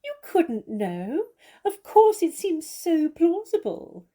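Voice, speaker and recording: A woman's voice: extremely high pitch, very animated, slow pace, dark tone, heavily acted. A woman playing a worldly, witty famous actress in a stage comedy, with an arch, condescending and theatrical manner. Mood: amused condescension.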